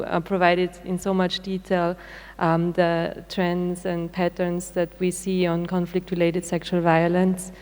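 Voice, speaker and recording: A woman speaking for a UN office on a conference panel, in a measured, formal manner. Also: on the low side, a little mellow, smooth. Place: conference hall. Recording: reverberant conference hall.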